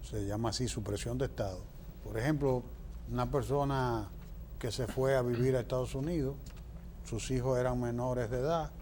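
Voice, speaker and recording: A man's voice: mid-range voice, darkish tone, a little hiss or hum. A man with a Dominican Spanish accent giving opinionated, explanatory political answers as an interview guest, emphatic and fond of rhetorical questions.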